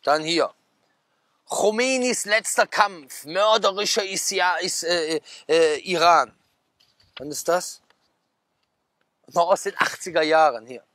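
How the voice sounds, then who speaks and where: A man in his thirties, a preacher, sitting at a garden table outside, reading out headlines and breaking into animated asides with questions to his listeners. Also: high voice. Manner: animated, gesturing, quick asides with rhetorical questions. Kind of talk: casual talk, reading out magazine headlines. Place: outdoors at a garden table, sunny.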